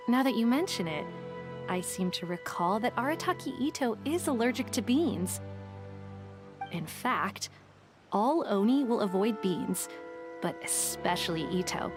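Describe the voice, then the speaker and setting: A woman playing a composed, dutiful investigator in a video game, speaking in a measured, formal, matter-of-fact way. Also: mid-range voice, natural voice. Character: composed, dutiful female investigator telling a cautionary tale. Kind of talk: scripted video game dialogue. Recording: game music behind the voice.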